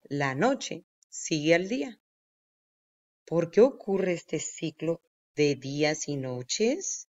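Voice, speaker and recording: Woman doing a read-aloud for little kids, unhurried and deliberate, bit lo-fi. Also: deep voice, lively intonation, a little mellow. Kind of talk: children's nonfiction book read-aloud. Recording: dull, lo-fi sound.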